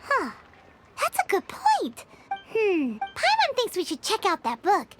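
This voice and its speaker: Super high squeaky little fairy sidekick, game character, chatty n blunt, really bouncy, music behind. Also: female voice, huge pitch swings, unhurried, heavily acted. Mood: curious, suspicious. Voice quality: squeaky, childlike. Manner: refers to self in third person, blunt, exclamatory. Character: tiny childlike floating fairy companion, chatty, blunt, food-minded. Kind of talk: video game character acting. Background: game background music.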